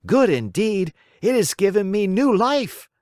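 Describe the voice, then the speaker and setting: A storyteller voicing a whiny, stubborn wooden marionette boy in a high, strained, whining voice. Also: big pitch swings, measured pace, darkish tone, dry recording, studio quality. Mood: cheerful. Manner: bargaining, then wailing and pleading.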